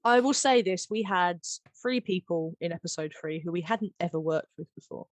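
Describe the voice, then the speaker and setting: A woman's voice: on the low side, normal speed, no room sound. Effusive, giggly woman podcaster. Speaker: podcast host and audio drama editor. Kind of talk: casual chat about making audio drama.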